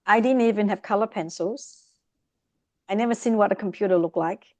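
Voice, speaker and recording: Podcast guest: middle-aged woman, expressive storyteller, dry close sound. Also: mid-range voice, a little fast, no room sound. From Vietnam. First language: Vietnamese. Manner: lively, expressive, speeds up in bursts. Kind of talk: casual interview storytelling.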